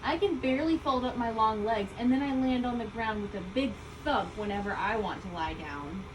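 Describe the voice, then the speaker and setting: A woman reading a children's story aloud, expressively, with deliberate emphasis on key words. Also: on the high side. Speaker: storybook reader. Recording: distant mic, loud background ambient noise.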